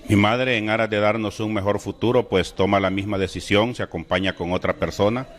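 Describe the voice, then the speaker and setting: A middle-aged Salvadoran man speaks in a slightly low voice, unhurried and deliberate. He tells his personal testimony with solemn weight, his voice lifting as the story grows heavier. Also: fairly even intonation, slight background noise. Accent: Salvadoran Spanish. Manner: slow, deliberate, solemn storytelling with emotional rises.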